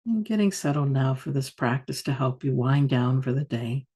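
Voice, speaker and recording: A woman meditation teacher guiding a yoga nidra practice in a soft, soothing, unhurried manner with long pauses. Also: very deep voice, lively intonation, breathy edge.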